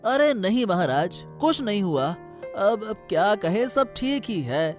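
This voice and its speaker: A man's voice: high voice, very animated, a little slow. A man plays a harried courtier son in a cartoon moral tale. He fusses and complains in a plaintive voice, with music playing underneath. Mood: deferential, aggrieved. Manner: plaintive, fussing. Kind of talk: Hindi cartoon moral-story dubbing.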